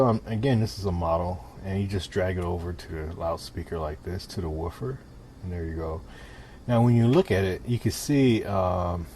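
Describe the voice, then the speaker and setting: Low-pitched man narrating a casual tutorial, noisy recording. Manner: informal, step-by-step, talking while demonstrating. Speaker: tutorial presenter. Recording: clear steady background noise.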